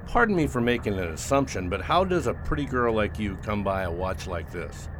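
A man's voice: fairly low pitch, lively intonation, fairly quick, background noise, natural voice. A man in an audio drama playing a folksy, sympathetic shop buyer with a placating manner. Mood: folksy, curious.

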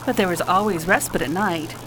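An actress playing a spirited, caring wife aboard a starship in a space opera radio drama, expressive and earnest. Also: female voice, on the low side, brisk, noisy background, close to an everyday voice. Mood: chatty.

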